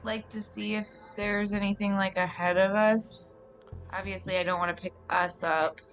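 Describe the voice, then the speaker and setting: A woman's voice: medium pitch, fairly even intonation, normal speed. A woman player in a tabletop roleplaying game, chatting casually and often saying "like" as she talks. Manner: conversational, peppers speech with "like". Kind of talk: casual chat. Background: music.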